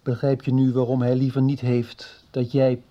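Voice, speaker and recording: A man's voice: medium pitch, monotone, normal speed, lightly acted. A man playing a reasonable, subdued father in a radio soap, explaining hesitantly. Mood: earnest, subdued. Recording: muffled 1990s radio recording.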